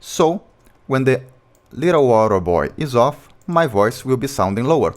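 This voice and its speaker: A man with a low voice, a music producer walking through a beatmaking tutorial step by step in slightly non-native English. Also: sing-song, normal speed. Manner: plain step-by-step explaining, non-native grammar. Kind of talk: casual screen-recorded tutorial. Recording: beat music playing behind the voice.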